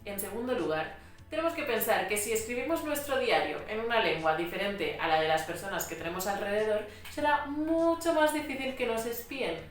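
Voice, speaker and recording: A woman from Spain explains language tips in a patient, clear teaching voice. She sounds set back from the mic, with a roomy echo around her and soft music underneath. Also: medium pitch, fairly quick. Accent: Spain Spanish. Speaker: Spanish teacher. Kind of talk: educational vlog narration. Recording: echoey room, mic at a distance.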